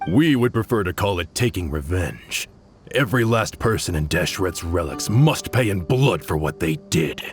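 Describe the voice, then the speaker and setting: A man's voice: low voice, lively intonation, moderate pace, faint music behind. A man voicing a bitter, vengeful male gang member in a video game, speaking bluntly.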